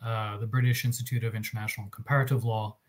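A man, a native Russian speaker and arbitration lawyer, giving a measured, articulate panel talk over a video call. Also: on the low side, level tone. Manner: measured, articulate with light fillers. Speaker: arbitration lawyer, founder of a disputes practice.

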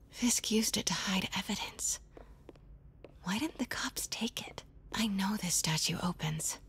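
A woman's voice: mid-range voice, a bit bright. An actress playing a curious young woman reporter in a video game, friendly on the surface but persistently probing. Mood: whispering. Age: young adult. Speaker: undercover reporter.

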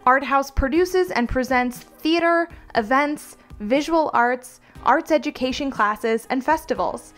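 A woman's voice: high voice, measured pace, few pauses, a bit bright, soft background music. An American woman reading scripted podcast narration as its host. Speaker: producing director, podcast host.

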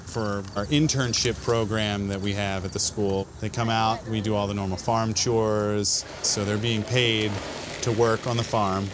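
A man's voice: lowish voice, fairly even intonation, a little slow, background noise. A man in his thirties, a school farm manager, explaining the farm in an easygoing interview outdoors.

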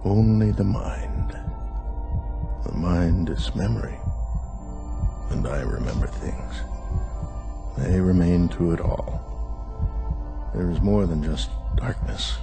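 Weary spaceman thinking to himself in radio drama, really deep n slow, music behind. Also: vocal fry, exaggerated voice. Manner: halting, deliberate, ponderous phrasing. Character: weary male astronaut in suspended sleep, brooding, introspective. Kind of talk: radio drama inner monologue.

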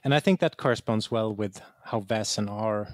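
A man giving conversational explanations as an interview guest, halting and correcting himself as he searches for words. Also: fairly low pitch, dry recording. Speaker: guest, game writer.

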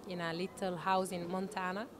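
Actress guest, woman in her thirties. Animated, self-correcting anecdotes into a handheld mic, with people chattering behind. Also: lowish voice, moderate pace. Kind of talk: chatty anecdotal interview answers. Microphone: handheld interview microphone shared with interviewer. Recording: busy chatter in the background.

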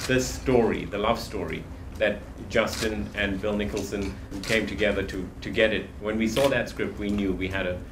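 Producer at a press conference, fairly low voice, echoey room with audible noise. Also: male voice. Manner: earnest, with restarts. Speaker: film producer. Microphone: handheld mic.

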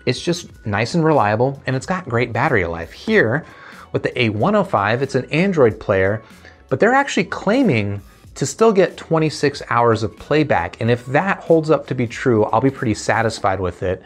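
A man in his thirties presents a tech review in a casual, explanatory way. His voice sits at a medium pitch, picked up on a lapel mic indoors, with music behind him. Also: big pitch swings, normal speed. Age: 30s. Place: indoor home studio with desk. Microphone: lavalier lapel mic.

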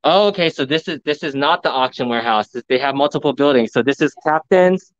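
Man, excited live vlog commentary, mid pitch, dry, dull phone audio. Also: fast talker, dry recording. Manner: excited, enthusiastic, addressing viewers. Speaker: vlogger, live streamer. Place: large indoor arcade showroom. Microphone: phone camera.

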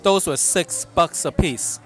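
A middle-aged man reviewing food in a casual vlog from a restaurant booth, making wry, deadpan remarks into a close mic. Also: a little high, slow. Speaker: food vlogger. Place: dim restaurant booth, indoors.

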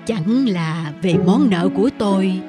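A woman plays an elderly village man in an animated folk tale. He speaks slowly and earnestly, with a gentle temper, over background music. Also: mid-range voice, natural voice.

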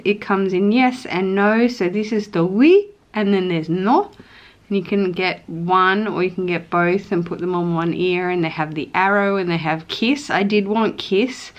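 A woman's voice: on the low side, dull audio. A woman in her thirties with an Australian accent, chatting casually and gushingly to camera for a vlog at home. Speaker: vlogger. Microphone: camera at arm's length or on table.

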